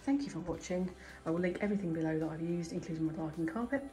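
Woman, DIY vlogger, low-ish voice, chatty hands-on tone, recorded on a handheld camera in a home hallway. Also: quick pace, mellow. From United Kingdom. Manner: chatty, thinking aloud while working. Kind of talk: casual hands-on DIY tutorial. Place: indoor hallway and staircase at home. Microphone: handheld phone or camera filming her hands.

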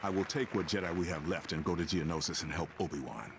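A man's voice: sits low, fast talker. A man playing a stern, commanding senior warrior in a game cutscene, firm and authoritative. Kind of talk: video game cutscene acting. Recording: music and crowd noise behind.

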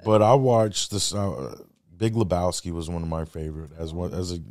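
A man's voice: deep voice, moderate pace, darkish tone, no room sound, clean studio sound. A man in his thirties chatting casually and jokingly as a podcast co-host. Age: 30s. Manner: joking, teasing.

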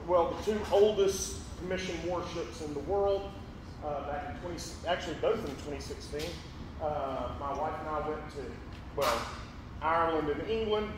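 Big-voiced man lecturing in echoey hall. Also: on the high side. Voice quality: big, carrying voice. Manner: folksy, rambling, lots of ums and restarts. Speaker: high school history teacher giving a public lecture. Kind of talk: casual public lecture. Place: large echoey auditorium with a screen. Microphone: distant room mic, speaker away from it. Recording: echoey hall from far off, room noise.

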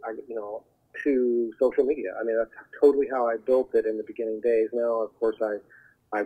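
A man's voice: medium pitch, steady tone, fairly quick, slightly rough. Man on a muffled phone line. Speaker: voiceover talent agent, podcast guest. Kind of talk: conversational interview advice. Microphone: telephone call.

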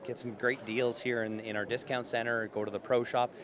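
A man's voice: medium pitch, level tone, a little fast, background noise. A middle-aged man, giving spontaneous interview answers as an association president. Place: large indoor exhibition hall. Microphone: handheld reporter mic. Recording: busy convention crowd behind.

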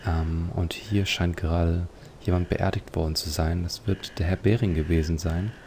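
A man's voice: low-pitched, little pitch movement, moderate pace, darkish tone, a little hiss or hum. A man hosting a let's play, giving leisurely, reflective commentary on a video game.

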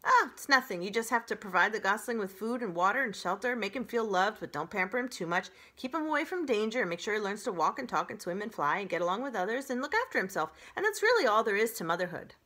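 A woman's voice: mid-range voice, animated, quick pace, few pauses, bright, forceful tone. A woman voicing a brusque old goose in a storybook, squawking in a skeptical, bossy, scolding manner. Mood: brisk, bossy.

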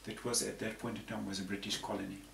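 A man's voice: on the low side, level tone, fast talker, creaky. A man in his sixties from Zambia, explaining things in a rambling, conversational way and often repeating words. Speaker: panel guest. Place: room with patterned tapestry backdrop. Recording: roomy, distant, faint hiss.

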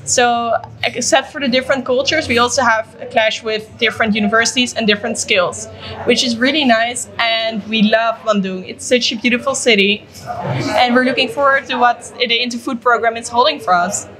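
A woman's voice: a little high, fairly even intonation, moderate pace, poor audio. Upbeat young Dutch-raised woman, crowd behind. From Netherlands. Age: young adult. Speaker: hotel management student. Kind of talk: casual testimonial. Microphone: handheld mic.

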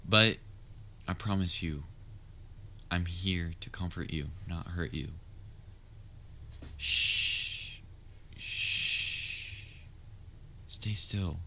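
A man's voice: low voice, pushed and bright, a little hiss or hum, exaggerated voice. A man playing a patient, sometimes exasperated but caring young babysitter in a roleplay audio drama, talking in a casual, coaxing way. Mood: soothing. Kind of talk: roleplay audio drama, speaking directly to listener.

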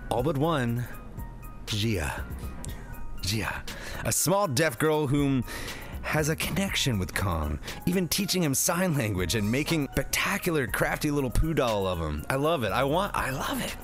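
Guy doing a jokey movie review, slangy and sweary, mid pitched voice, music behind. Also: very animated, a little slow, fairly bright tone. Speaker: review show host. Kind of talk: comedic movie review, part scripted. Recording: music under the voice.

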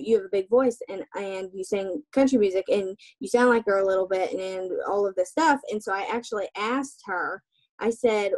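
A young adult woman answering interview questions over a video call, chatty and relaxed, with lots of fillers. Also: medium pitch, rough recording. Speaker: guest, singer. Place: small room indoors. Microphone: webcam on a video call.

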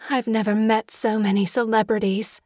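A woman acts out a young society wife in a literary audio drama. She is coquettish and murmuring, with a medium pitch. The sound is dry and intimate. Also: little pitch movement, normal speed, darkish tone, no echo, natural voice. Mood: charmed.

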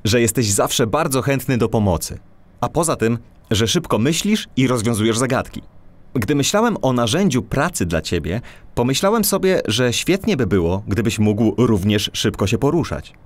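Man playing animated, courteous cat inventor. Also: mid-range voice, normal speed, close to an everyday voice. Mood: upbeat. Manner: polite, self-assured. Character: courteous, confident male cat police gadget expert. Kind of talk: children's audio drama acting.

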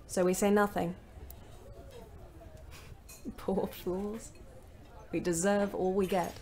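A woman's voice: mid-range voice, a bit bright. An actress playing a wry, resentful young British woman ambulance driver, narrating her diary in an emphatic way. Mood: wry, resigned. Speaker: actor narrating an audio play.

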